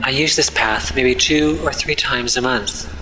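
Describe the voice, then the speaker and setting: A man's voice: mid-range voice, unhurried, sharp tone, soft background music, rough recording. A man reading a scripted first-person horror story as its narrator.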